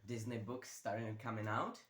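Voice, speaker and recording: A man's voice: lowish voice, level tone, a little slow, fairly bright tone. Chatty young man. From Czech Republic. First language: Czech. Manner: chatty, rambling, with many fillers. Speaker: book vlogger. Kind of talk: casual chat. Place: bedroom. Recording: roomy bedroom echo.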